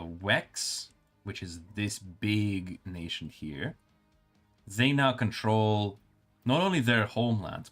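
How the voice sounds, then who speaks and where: A male streamer with a calm, soothing voice, reading game lore aloud and adding casual remarks. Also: fairly low pitch.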